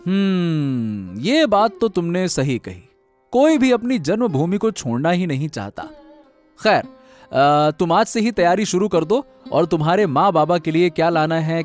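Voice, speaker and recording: A man's voice: a little high, sing-song, moderate pace, faint music behind. A man playing a bossy but caring husband in an acted story, chatty, emphatic and affectionately nagging. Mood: affectionate, planning. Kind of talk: acted Hindi audio story dialogue.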